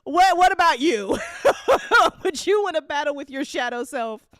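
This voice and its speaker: Giggly woman hosting, very lively pitch. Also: a little slow, dry recording. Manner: giggly, playful, informal. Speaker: host putting questions to a cast. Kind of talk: casual group chat.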